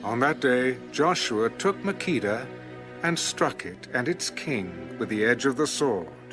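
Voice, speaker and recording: A man's voice: mid-range voice, animated, measured pace. A man narrating scripture in a dramatic, scripted audiobook style. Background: music.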